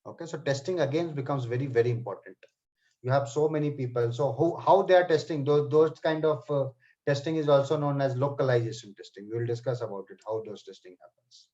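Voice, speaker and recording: A man's voice: medium pitch, a little fast, warm tone. Man teaching a live online class. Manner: addresses students by name, frequent "okay" and "right". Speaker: software testing trainer. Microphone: computer mic on a video call. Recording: slightly dull online call audio.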